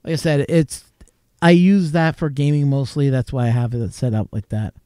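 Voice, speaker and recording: A man's voice: mid-range voice, fairly even intonation, moderate pace, mellow, smooth, no echo, clean studio sound. A man from Canada, hosting a late-night live stream from his home desk, chatting casually and rambling with chuckles. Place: home desk crowded with gear. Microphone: desk mic with a mixer.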